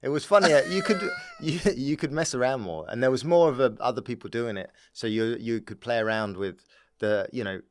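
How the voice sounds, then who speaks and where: A man's voice: medium pitch, fairly quick, no echo, studio quality. A man talking as a comedian guest in a casual chat, rambling and often repeating his words.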